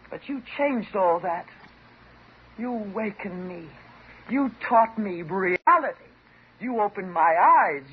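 Muffled noisy old radio, bitter divorced woman, sarcastic, dramatic, wounded. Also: mid-range voice. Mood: reproachful. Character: bitter, wounded divorced housewife confronting her psychoanalyst. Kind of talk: 1950s radio drama acting.

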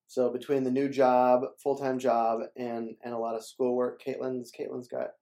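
A man's voice: medium pitch, monotone, normal speed, dark tone. A young man from Minnesota, a church staff member hosting a casual interview, upbeat and promotional and often repeating words.

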